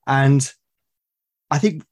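A man's voice: mid-range voice, drawn-out words, darkish tone. A man from Britain chatting as a podcast guest, thoughtful and tentative, repeating words as he thinks. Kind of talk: casual reflective conversation.

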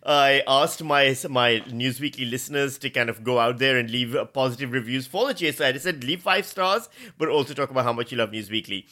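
A man's voice: medium pitch, fairly quick, hardly any pauses, bright, forceful tone. A man talking as a podcast guest in casual, joking banter.

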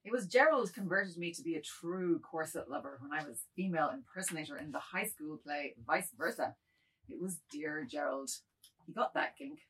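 A woman playing a meek, submissive middle-aged man, husky, earnest and apologetic, in a dramatic reading of a novel. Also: deep voice, fairly bright tone, far from the mic, full character voice, poor audio.

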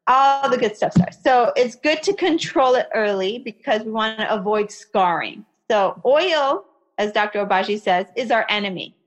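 A woman's voice: fairly high pitch, expressive, moderate pace. Woman explaining skincare, "you know" often. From Iraqi family, raised in America. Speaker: skincare expert guest. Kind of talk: conversational interview, explaining.

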